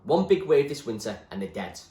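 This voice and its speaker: A man voicing a calm, blunt and ruthless young gang leader in a storybook, with a commanding manner. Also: medium pitch, fairly quick, roomy sound, pitched above the performer's own voice, lightly acted.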